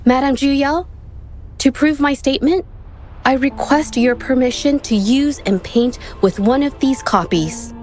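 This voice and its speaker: An actress voicing a gentle, earnest young woman painter in a video game, speaking in measured, formal, soft-spoken sentences. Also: sits high. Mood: resolute. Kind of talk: video game voice acting, scripted monologue.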